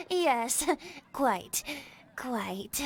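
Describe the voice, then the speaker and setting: High, impish boyish bard. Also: female voice, very animated, drawn-out words, sharp tone, full character voice. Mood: breezy. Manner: florid, poetic, mock-grand phrasing. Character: playful theatrical boyish male bard, youthful and impish. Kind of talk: video game character acting.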